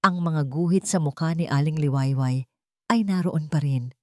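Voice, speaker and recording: A woman storyteller with a fairly low voice narrates a dramatic story from a script. The sound is dry, with very little room in it. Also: normal speed, mellow, no echo.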